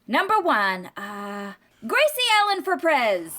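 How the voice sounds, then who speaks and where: A scatterbrained, innocent wife chirps in a high, bright voice with huge swoops. She is bubbly yet earnest, in old-time radio comedy style, over faint noise. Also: huge pitch swings, slow, exaggerated voice. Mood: breezily confident. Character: cheerfully scatterbrained wife running for president.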